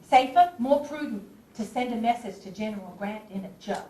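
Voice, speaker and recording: Woman acting spirited Civil War spymaster, high voice, wry theatrical delivery, distant mic, noisy stage recording. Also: lively intonation, brisk, warm tone, roomy sound, natural voice. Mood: wry, frustrated. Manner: dramatic, wry storytelling. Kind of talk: theatrical stage monologue and dialogue.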